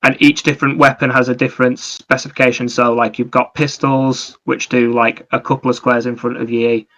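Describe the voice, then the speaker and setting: Male, gaming podcast co-host. Bright, sharp tone, little pitch movement, rambling explanations. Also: little pitch movement, brisk, low-quality recording. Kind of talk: casual gaming discussion.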